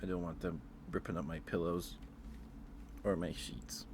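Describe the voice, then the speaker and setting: A man streaming casual ASMR chat, talking haltingly, often repeating words and trailing off. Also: low voice, steady tone, background noise. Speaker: streamer hosting a ranking.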